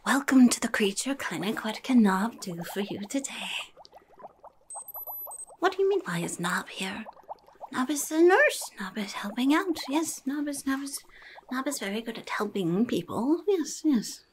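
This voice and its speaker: A woman's voice: on the high side, big pitch swings, a little slow, a little hiss or hum. A young woman playing a rude, scatterbrained goblin nurse in an ASMR roleplay, half whispering, muttering and stammering impatiently. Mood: eager, defensive. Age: young adult. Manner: muttering, stammering, impatient, talks in third person. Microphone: close microphone.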